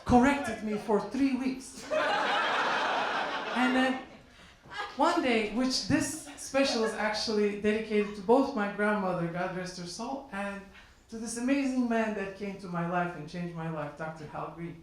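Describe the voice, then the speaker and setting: Man, Turkish accent, stand-up comic, fairly high voice, very echoey noisy room. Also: unhurried. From Turkey. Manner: lively, chatty, teasing the audience. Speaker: stand-up comedian. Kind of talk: stand-up comedy with crowd work.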